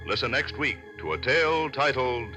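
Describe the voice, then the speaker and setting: A man's voice: medium pitch, expressive, normal speed, loud music behind the voice. A man working as a radio announcer, reading scripted credits in a 1940s broadcast style.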